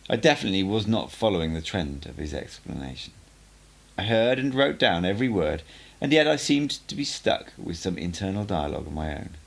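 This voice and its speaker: A man reading a book aloud as an amateur audiobook narrator, in an expressive, measured way with emphatic phrasing. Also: deep voice, gravelly.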